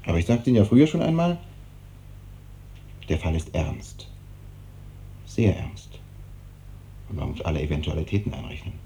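An actor playing an evasive, hesitant hospital doctor in a 1950s German radio drama, on an old noisy recording. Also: male voice, low voice, sing-song, normal speed, long pauses, warm tone. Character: evasive, brisk hospital doctor, uneasy with hard truths.

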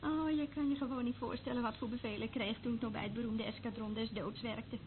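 A woman's voice: a little high, steady tone, fast, natural voice. An actress playing a brash, flippant woman who works as an eavesdropper for a military junta in a Dutch radio drama, blunt and mocking. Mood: scornful. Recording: tinny, narrow-band old radio recording, faint noise.

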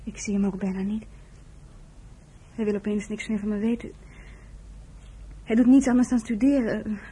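A woman's voice: medium pitch, steady tone, normal speed, warm tone, lightly acted. A woman acting an eager, chatty young nursing trainee in a Dutch radio play, on a noisy old recording. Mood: concerned.

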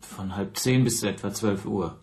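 A man's voice: on the low side, measured pace, darkish tone. An actor in a radio drama playing an earnest, easily indignant man under questioning, speaking plainly and precisely. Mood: cooperative, defensive. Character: earnest, indignant detained language teacher, an innocent man. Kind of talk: naturalistic radio drama acting.

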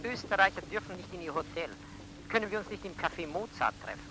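An actor playing a secretive, urgent Austrian baron heard over a telephone in a German radio crime drama. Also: male voice, high-pitched, fast talker, pushed and bright, slight background noise, poor audio. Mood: urgent, polite.

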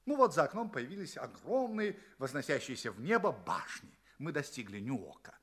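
An actor playing an earnest, polite space traveller who recounts his adventures in theatrical audio play narration, with dramatic pauses. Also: medium pitch, big pitch swings, a bit bright, slightly rough, exaggerated voice. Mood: wry storytelling.

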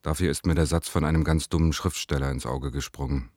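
A man's voice: very deep voice, steady tone, fast, darkish tone, dry recording, studio quality. An actor playing a wistful, wry lover in a radio play, reading his letters aloud with understatement.

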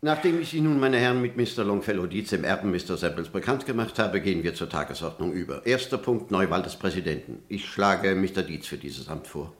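Low, formal boardroom gentleman. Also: animated, brisk, few pauses. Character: formal, officious chairman of an opera board. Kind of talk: German radio play acting. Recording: roomy studio echo.

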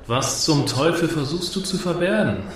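Sneering stage provocateur in echoey hall. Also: male voice, fairly high pitch, animated, normal speed, a bit bright. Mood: scornful. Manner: sneering, mocking, theatrical stage delivery. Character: arrogant male cabaret provocateur insulting his audience. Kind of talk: radio play acting. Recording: echoey hall with audience crowd.

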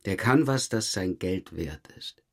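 A man's voice: sits low, lively intonation, a little slow, exaggerated voice. A man acting a police detective in a crime audio drama, dry and pointedly questioning. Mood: dry, probing. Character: male police detective, probing, dryly insistent.

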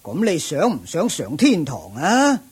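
A dogmatic old ascetic teacher in a Hong Kong Cantonese radio play, husky and unhurried. He hems and sighs through drawn-out phrases, his fairly high voice rising and falling dramatically. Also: wildly swooping pitch, full character voice. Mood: complacent. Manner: drawn-out, hemming and sighing. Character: senior ascetic master, dogmatic, complacent old Taoist-style teacher. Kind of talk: Buddhist radio drama acting.

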